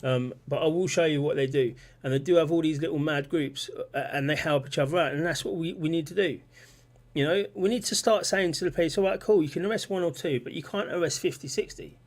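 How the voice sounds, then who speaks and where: Rambling man, higher-pitched. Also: fast talker. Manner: rambling, repeats himself, reads out chat comments. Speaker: livestream host. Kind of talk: casual political livestream talk.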